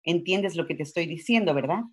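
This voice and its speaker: An older woman playing a warm sixties veterana spiritual healer, read over a video call. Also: fairly low pitch, quick pace, close to an everyday voice. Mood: affectionate. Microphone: video call from home.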